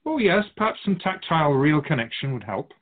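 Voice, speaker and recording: Man playing a serene soul keeper, animated pitch, phone-like. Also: brisk. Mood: wise, gently encouraging. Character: otherworldly Keeper of Souls, serene wise spiritual guide. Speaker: radio drama character. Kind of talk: radio drama dialogue. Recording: slightly muffled, phone-like narrow sound.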